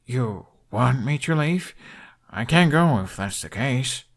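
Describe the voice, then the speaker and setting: A man's voice: medium pitch, expressive, slow, voice pushed up. A man voicing a polite, guarded young dragon rider in an audiobook. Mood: feigned bashfulness.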